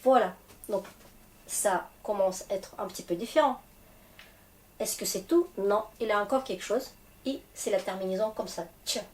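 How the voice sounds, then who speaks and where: A woman with a Russian accent and a cold, teaching a language lesson, slow and patient as she spells out word endings. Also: mid-range voice. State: has a cold.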